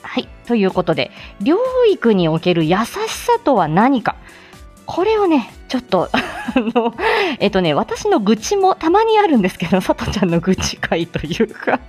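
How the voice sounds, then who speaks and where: Lively, animated woman hosting a casual livestream. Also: medium pitch, normal speed, soft background music. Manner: laughs often while talking. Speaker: host of a live audio show, speech therapist. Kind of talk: casual chatty solo livestream talk.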